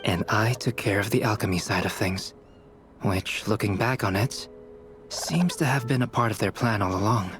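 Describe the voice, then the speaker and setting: An actor playing a calm, analytical young male alchemist in a video game, measured and explanatory. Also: lowish voice, faint music behind, close to an everyday voice. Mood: composed, explanatory.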